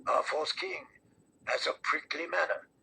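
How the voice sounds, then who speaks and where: An older man voicing a solemn, persuasive priestess of a fire god in an audiobook, raspy, creaky and deliberate. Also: fairly high pitch, wildly swooping pitch, pushed and bright, slight background noise, full character voice, low-quality recording. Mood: sly, assured. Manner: portentous, deliberate.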